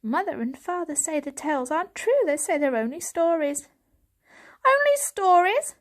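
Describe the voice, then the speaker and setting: A woman's voice: very high-pitched, very animated, moderate pace, pitched above the performer's own voice. A woman voicing a young male kitten, timid and eager, with an earnest, pleading manner, in a storybook reading. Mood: curious, eager.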